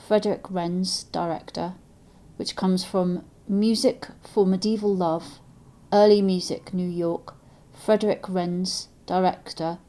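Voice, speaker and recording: A woman's voice: medium pitch, warm tone. A woman hosting an interview podcast, speaking conversationally to guests and listeners.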